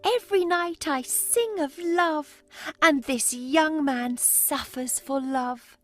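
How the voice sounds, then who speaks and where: A woman's voice: high-pitched, takes it slowly, fairly bright tone, no echo, faint music behind. A woman voicing a romantic, devoted little nightingale in a storybook, earnest, wistful and pleading. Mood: wistful, admiring.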